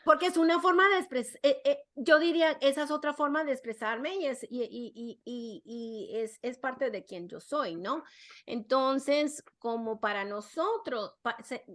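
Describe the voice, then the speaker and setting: A woman's voice: high-pitched, a little slow, a bit bright. A middle-aged woman chats easily as a podcast guest. She restarts her sentences often, repeats words while she searches for them and drops in English. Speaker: guest, clinical psychologist and parenting expert. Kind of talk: casual podcast conversation.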